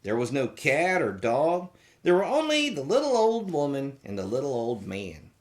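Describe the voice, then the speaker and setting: A children's show host reading a storybook aloud in a playful, theatrical, expressive way. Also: medium pitch, moderate pace.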